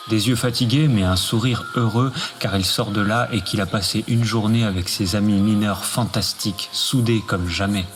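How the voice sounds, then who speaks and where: A man's voice: fairly low pitch, fairly even intonation, normal speed, hardly any pauses. A man delivering a scripted, poetic radio monologue with music behind him. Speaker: narrator.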